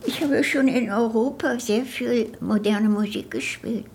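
An elderly woman being interviewed, reminiscing in short, halting phrases. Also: on the high side, darkish tone, a little hiss or hum. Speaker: interviewee, pianist. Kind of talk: reminiscing in conversation.

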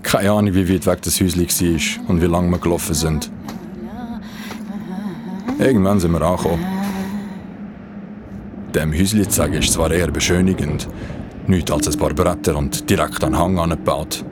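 A deep-voiced young Swiss man played by an actor tells of being stranded and injured in a radio play. He sounds uneasy and wary. Loud ambient noise runs under his voice. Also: steady tone, normal speed, pauses often, lightly acted. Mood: wry recounting. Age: young adult. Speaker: narrator and lead character. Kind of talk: first-person radio play narration and dialogue.

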